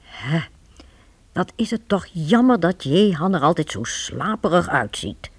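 A woman's voice: on the low side, sing-song, a little slow, a little hiss or hum. A woman narrating a radio play as a scripted storyteller.

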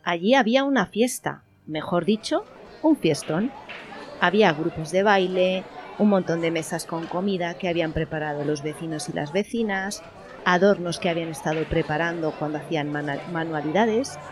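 A woman's voice: fairly low pitch, normal speed, some chatter behind. A woman with an accent from Spain, narrating an amateur radio play as a scripted story.

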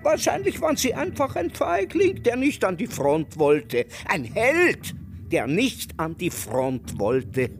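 Embittered old man, radio drama, menacing sarcasm, slow delivery, wide emphatic swings. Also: sits high, huge pitch swings, music in the background, exaggerated voice. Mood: scornful taunting. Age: older. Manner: biting, sarcastic, theatrically emphatic. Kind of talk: German radio drama acting.

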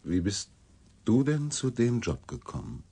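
A man acting a cool, inquisitive reporter in a German radio drama, talking in a casual, low-key, probing way. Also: big pitch swings, measured pace, creaky, warm tone, husky, full character voice.

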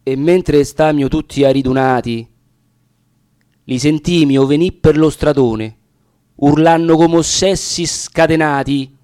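A man's voice: fairly high pitch, steady tone, measured pace, a little mellow, dry recording. A man with a Roman accent reciting dialect verse for radio in a theatrical, impassioned storytelling manner. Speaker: reader of dramatic verse.

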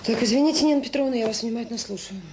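An actress playing a kind, firm children's home director, calm and authoritative, in a Soviet radio drama. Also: female voice, on the high side, rapid-fire, background noise, lightly acted. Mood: attentive.

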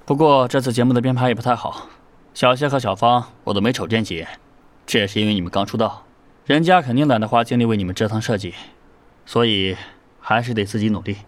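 An actor playing a businesslike, matter-of-fact manager of an idol group, in an audio drama. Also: male voice, mid-range voice, quick pace, lightly acted.

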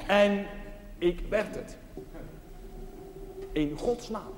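An actor playing a plain-spoken, earnest village chaplain, preaching a dramatic sermon in short, deliberate phrases. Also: male voice, high voice. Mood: wry. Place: large reverberant interior. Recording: echoey church hall, noisy old TV audio.

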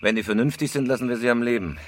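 An actor playing a cool-headed, laconic career robber in a German crime radio drama. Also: male voice, mid-range voice, very fast, slight background noise, close to an everyday voice. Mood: businesslike, terse. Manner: laconic, matter-of-fact. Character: terse, cool-headed male career robber planning a heist. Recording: music behind the voice. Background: music.

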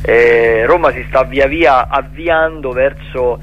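Man, medium pitch, hesitant drawn-out phrasing, casual radio talk, music behind. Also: highs cut off.

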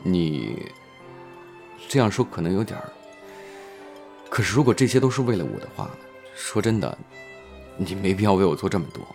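An actor playing a gentle, reserved, self-doubting male film actor in a Chinese audio drama. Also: low voice, sing-song, normal speed, gravelly, pauses often, faint music behind, full character voice. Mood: hesitant, troubled.

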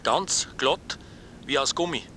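An actor playing a bashful, besotted rural husband from Styria, halting and flustered, phoning a radio show with an Austrian accent. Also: male voice, medium pitch, animated, bright, forceful tone, slight background noise. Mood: smitten, halting. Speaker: radio phone-in caller. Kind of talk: naturalistic radio drama dialogue.

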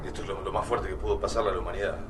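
A man's voice: low-pitched, little pitch movement, racing, echoey room, far from the mic, very noisy, close to an everyday voice. A man playing an anxious resistance fighter in a radio drama, with an Argentine Spanish accent. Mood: subdued, reflective. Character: anxious male resistance fighter, thoughtful, urgent under fire.

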